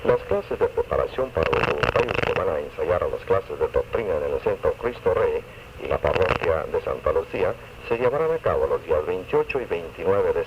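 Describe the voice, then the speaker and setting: A man reading scripted community announcements on 1970s radio. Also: deep voice. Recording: very noisy lo-fi 1976 AM radio recording.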